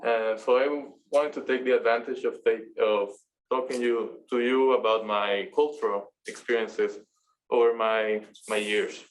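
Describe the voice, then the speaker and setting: Man, halting class talk. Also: fairly low pitch, steady tone, poor audio. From Venezuela. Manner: halting, searching for words. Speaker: master's student presenter. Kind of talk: class presentation.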